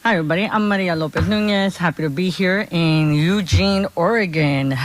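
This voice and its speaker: A woman's voice: on the low side, normal speed. A woman from Honduras giving a conversational talk at a conference as a community organizer, often checking in with her listeners.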